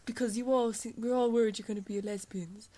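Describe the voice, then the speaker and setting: A bossy headmistress of a witch school, acted out by a woman, with a fairly high voice. She is blunt, crude and scolding, and speaks haltingly, as in an improvised spoof dub. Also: steady tone, a crowd in the background, lightly acted. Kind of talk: improvised parody dub of a children's TV show.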